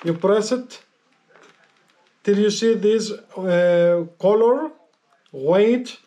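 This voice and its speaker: A man with a high-pitched voice talks through a casual hands-on tutorial. He explains step by step, haltingly, often repeating his instructions as he goes. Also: dark tone. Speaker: motorcycle gear vlogger giving a tutorial.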